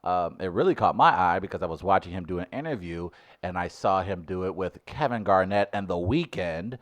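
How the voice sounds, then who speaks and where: Man, casual podcast chat, animated, mocking quotes, dry sound. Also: a little fast, no room sound. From St. Louis area. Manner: animated, jumps into high mocking voices when quoting. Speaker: podcast co-host.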